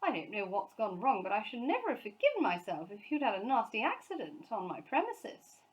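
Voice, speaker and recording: A woman voicing a pompous, hearty gentleman host in a storybook, with a booming formal manner. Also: huge pitch swings, brisk, poor audio. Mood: startled, relieved.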